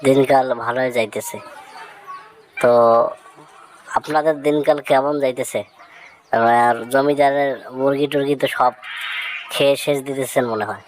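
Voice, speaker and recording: A sociable cartoon village dog, played by a woman, with a very low voice. The talk is neighbourly and matter-of-fact, explaining things in simple repeated points. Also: steady tone, normal speed, long pauses, a little mellow, slight background noise, low-quality recording. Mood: friendly. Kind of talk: cartoon moral-story dialogue.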